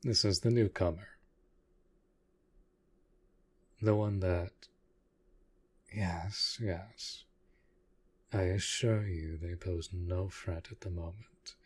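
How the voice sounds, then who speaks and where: Vampire lord of the manor, played by a man: very low, husky voice, formal and commanding, protective, for audio roleplay. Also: steady tone, exaggerated voice. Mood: calm, formal. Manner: formal, measured, authoritative. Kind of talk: scripted audio roleplay acting.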